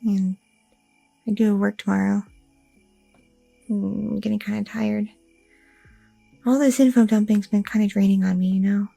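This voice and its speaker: A woman voicing a sweet, anxious young goth girlfriend in an ASMR roleplay, talking softly and a little hesitantly, close to the mic. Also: mid-range voice, steady tone, moderate pace, creaky, long pauses, no echo, faint music behind, voice pushed down, low-quality recording. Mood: sleepy, affectionate. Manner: soft, affectionate, a little hesitant, with fillers.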